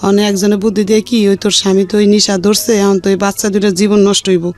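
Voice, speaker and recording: A woman's voice: medium pitch, level tone, fast talker, a little mellow, faint music behind. Plain-spoken Bangladeshi woman telling her life story. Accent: colloquial Bangladeshi. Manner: rambling, colloquial, plain-spoken. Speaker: interview guest. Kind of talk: personal life-story account.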